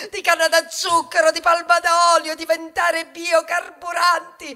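An actress playing a tender, grieving mother corn cob in a poetic theatrical monologue, moving between hushed and impassioned delivery. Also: very high-pitched, heavily acted. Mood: impassioned, anguished. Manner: slow dramatic delivery, swinging from hushed to impassioned. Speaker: stage actress.

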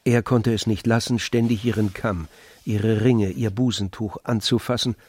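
A man's voice: fairly low pitch, steady tone, moderate pace, darkish tone, breathy edge, dry recording. A man narrating a literary German radio drama as a scripted storyteller. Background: music.

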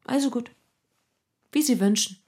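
A fairly high voice from an actress plays an aloof young wife in a radio drama. She sounds cool, offhand and indifferent. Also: a little slow, a little mellow, close to an everyday voice. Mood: cool, resigned. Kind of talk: German radio play acting.

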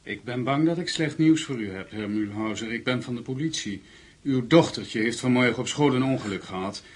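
An actor playing a sly, threatening henchman in a radio drama, brisk and controlling. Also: mid-range voice, breathy edge, natural voice. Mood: falsely solicitous. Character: sly, threatening male henchman posing as policeman.

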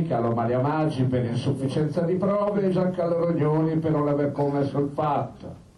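A man's voice: mid-range voice, mellow. A man delivering scripted civic theatre narration in a solemn, declamatory way. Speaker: narrator and author of a theatre monologue. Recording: roomy, distant from the microphone.

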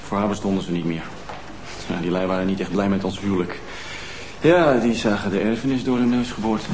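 Casting: male actor for callous, defensive young widower in a TV drama. Indignant, fast talk in an echoey room. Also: fairly low pitch, a little mellow, a little hiss or hum, close to an everyday voice. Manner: indignant, brusque. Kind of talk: naturalistic TV crime drama dialogue. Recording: echoey room under prominent music.

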